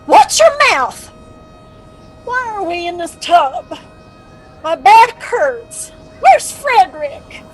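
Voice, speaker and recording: A woman's voice: very high-pitched, big pitch swings, slow pace, pauses often, full character voice. An actress playing a confused, forgetful mother in an audio drama, fretful and scolding as she complains. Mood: agitated.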